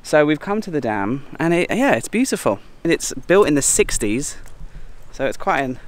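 A man, chatting casually to a handheld camera as he travels, talking to animals in a high playful voice now and then. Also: sing-song, normal speed. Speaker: travel vlogger. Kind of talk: casual travel vlog chat. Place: outdoors at a petting farm and a dam. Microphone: handheld camera at arm's length.

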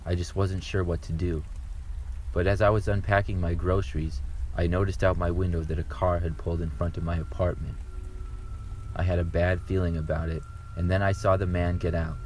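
A man reading true horror stories aloud in a calm, steady first-person narration. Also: deep voice, brisk, a little hiss or hum. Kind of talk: scripted horror story narration.

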